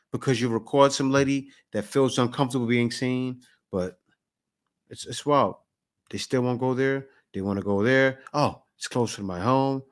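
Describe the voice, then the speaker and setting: Chatty man in his forties. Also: mid-range voice. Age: 40s. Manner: loose, conversational, repeats himself. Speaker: host reacting to a video. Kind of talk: casual reaction commentary.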